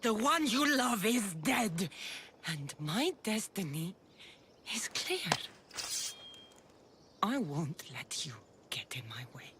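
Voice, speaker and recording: An actress playing a bitter young Greek warrior woman in a dramatic video game, speaking with menace and gravel in her voice. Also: medium pitch, big pitch swings, background noise. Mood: cold resolve. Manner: menacing, deliberate.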